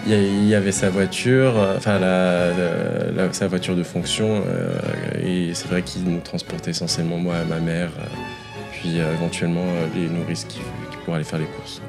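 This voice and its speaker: A very deep-voiced man from Morocco talks as a podcast guest. He speaks hesitantly, with plenty of fillers, and often breaks into laughter. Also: little pitch movement, moderate pace, gravelly, music in the background. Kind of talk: casual interview.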